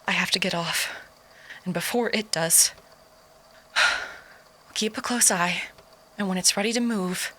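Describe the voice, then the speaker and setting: A bright, forceful female voice, acted by a woman for an audio drama. She is a watchful, determined spy muttering her plans to herself, with sighs in her delivery. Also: medium pitch, slow pace, long pauses. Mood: wary, resolute.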